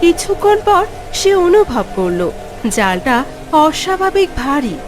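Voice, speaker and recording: A woman's voice: high-pitched, very animated, a little slow, background noise. A woman reading scripted narration for a cartoon moral story. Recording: music behind the voice.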